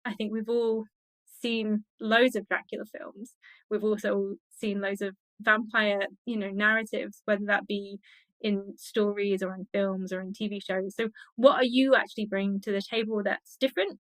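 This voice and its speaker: A woman's voice: mid-range voice, steady tone, moderate pace, a bit bright, dry recording, low-quality recording. A woman speaking as an expert guest in a conversational interview, explaining her thoughts with enthusiasm.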